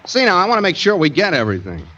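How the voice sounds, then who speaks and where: Cocky fake-expert sidekick, lofty talk, lively swings in pitch, old muffled 40s radio comedy. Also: male voice, very fast, heavily acted. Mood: lecturing, superior. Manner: pompous, lofty mock-learned talk. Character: cocky know-it-all pal posing as an expert chemist. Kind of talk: 1940s radio comedy acting. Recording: dull, faintly noisy vintage radio recording.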